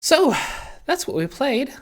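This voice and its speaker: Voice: high-pitched, very animated, slow. A podcast host talking casually and conversationally about video games.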